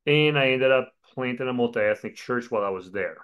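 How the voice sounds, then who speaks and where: A man's voice: medium pitch, a little fast, a bit bright, clean tone, lo-fi sound. A man in his forties who grew up in Colombia, a pastor telling his story in a podcast interview, with many fillers. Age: 40s.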